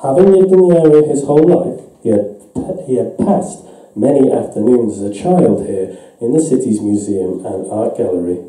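A man of about thirty giving a measured, deliberate live reading of an eerie short story. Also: mid-range voice, animated, warm tone. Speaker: story reader. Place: indoor event room, on small stage. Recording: distant, muffled, very echoey room.

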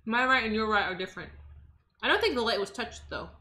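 A woman's voice: fairly high pitch, animated, quick pace, bright, forceful tone. A young woman from Los Angeles chatting casually with her stream while cooking in a home kitchen, answering chat as she chops. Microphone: desk microphone on the counter.

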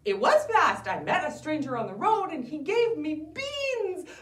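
A woman voicing an excitable, cheeky young boy in a children's story. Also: very high voice, unhurried, far from the mic, voice pushed up, full character voice. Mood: excited. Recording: roomy, a bit off-mic.

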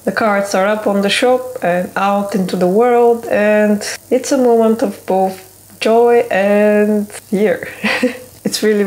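A woman's voice: mid-range voice, a little mellow, low-quality recording. A woman in her thirties, an illustrator, chatting candidly to the camera in a casual studio vlog, recorded close up in a small home studio. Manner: chatty and candid, with light laughs. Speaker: illustrator vlogger.

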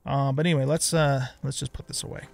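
A man's voice: mid-range voice, little pitch movement, fast, vocal fry, a little mellow, soft background music. A middle-aged man giving casual let's play commentary on a video game, thinking aloud and muttering to himself.

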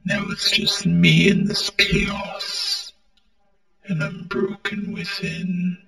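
A man performs a mournful, self-loathing robot prince from a war-torn land in an amateur audio drama. The voice is high and bright, and it moves extremely slowly in halting, melodramatic phrases. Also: big pitch swings, creaky, echoey room, soft background music, exaggerated voice, low-quality recording. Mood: sorrowful, pained. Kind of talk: dramatic amateur character monologue.

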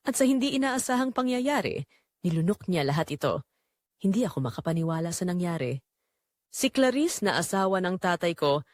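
A woman reading a scripted first-person audio drama in a dramatic, measured way with short pauses. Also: fairly low pitch, no room sound, clean studio sound. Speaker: narrator.

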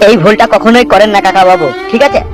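A man's voice: high voice, fairly even intonation, fast talker, close to an everyday voice. A man voicing brash, lecturing village men in a comedy cartoon, with a blustery manner. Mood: admonishing. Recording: music behind the voice.

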